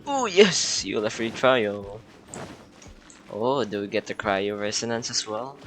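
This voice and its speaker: A man's voice: fairly low pitch, expressive, unhurried, fairly bright tone, soft background music. A man giving casual, laid-back commentary while playing a video game, making offhand remarks. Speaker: gamer. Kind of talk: casual let's-play commentary.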